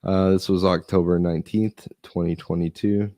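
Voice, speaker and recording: A man's voice: low voice, little pitch movement, normal speed, dark tone, no echo. A man hosting a casual stream, chatting loosely and thinking aloud while he is losing his voice. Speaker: host. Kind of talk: casual live-stream reading and chat.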